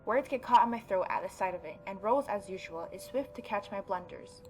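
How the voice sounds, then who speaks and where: A woman gaming YouTuber reading a story game aloud and adding expressive, mocking asides. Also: mid-range voice, fairly quick, faint music behind. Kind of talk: let's-play reading aloud with reaction commentary.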